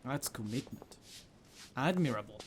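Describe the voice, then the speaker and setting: A man's voice: mid-range voice, animated, a little slow. Guy doing chatty playful game commentary, voice swings up and down a lot, slight noise behind. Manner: playful, muttering asides, exclaiming. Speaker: gaming video host.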